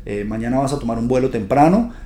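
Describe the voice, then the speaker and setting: A man's voice: mid-range voice, expressive, quick pace, dark tone, slight background noise. A man hosting a podcast, talking to his listeners in a chatty, upbeat, direct way. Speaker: podcast host. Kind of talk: casual podcast monologue.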